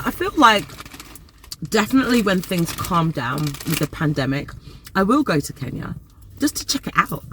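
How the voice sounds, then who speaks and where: A Nigerian-born woman in her forties, casually talking to camera in a chatty, animated way and repeating words for emphasis. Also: fairly high pitch, a little hiss or hum. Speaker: vlogger.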